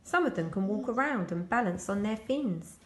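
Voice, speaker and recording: A woman reading a children's picture book aloud as a school storyteller, expressive and addressing young children. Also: medium pitch, moderate pace, echoey room.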